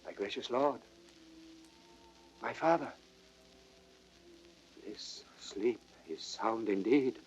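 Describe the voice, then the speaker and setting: An actor playing a solemn, grieving, proud young prince with stately, declamatory verse delivery in a classical Shakespearean radio drama. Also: male voice, mid-range voice, drawn-out words, pauses often, soft background music. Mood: hushed grief. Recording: dull, band-limited old record sound.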